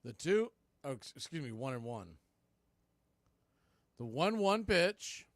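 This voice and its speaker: A man's voice: a little high, sing-song, slow, dry recording. A man doing live baseball play-by-play in clipped phrases with conversational asides. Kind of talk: live sports commentary.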